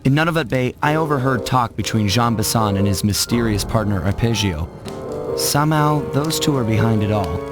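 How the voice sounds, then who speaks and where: Loud music plays under a suave young raccoon thief, acted by a man in a cartoon game. He sounds cool and sure of himself, at an ordinary male pitch. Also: animated, normal speed, close to an everyday voice. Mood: cool, wry. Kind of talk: cartoon video game narration and dialogue.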